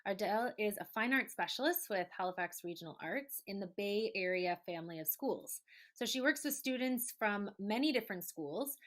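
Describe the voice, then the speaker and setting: A woman's voice: medium pitch, a bit bright. A woman presents a show in a casual, chatty way. Her mid-range voice is enthusiastic and effusive as she links one segment to the next. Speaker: show host.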